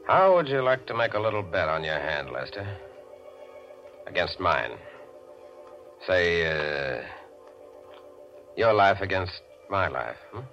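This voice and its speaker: An actor playing a sly, mocking tough guy in a 1940s radio crime drama, taunting and coolly menacing in slangy, deliberate speech. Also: low voice, sing-song, a bit bright, faint music behind, heavily acted. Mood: gloating, menacing. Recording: dull vintage radio recording.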